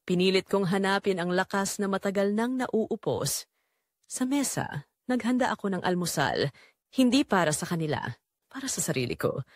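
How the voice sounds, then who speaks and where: Expressive, wounded Filipino mother played by a woman. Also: medium pitch, moderate pace, no echo, studio quality, close to an everyday voice. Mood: sorrowful, weary. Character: betrayed middle-aged mother, wounded but quietly resolute. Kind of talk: dramatic first-person audio story narration.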